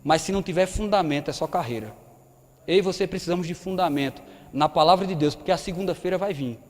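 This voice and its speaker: Man in his thirties, northeastern Brazilian accent, preaching, fairly high voice, long church reverb. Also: fast. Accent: Brazilian Portuguese, northeastern. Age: 30s. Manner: conversational preaching, rhetorical questions to the audience. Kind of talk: sermon. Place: church hall.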